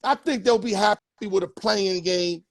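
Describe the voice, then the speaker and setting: A man's voice: high voice, moderate pace, gravelly, no room sound, clean studio sound. A man hosting a casual sports talk show, loose and joking, full of street slang. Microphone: headset or earpiece.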